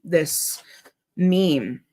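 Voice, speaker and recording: A woman from Minnesota hosting her own show, speaking with deep emotion in halting, earnest commentary. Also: expressive, warm tone. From Minnesota, USA. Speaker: host. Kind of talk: emotional personal commentary vlog.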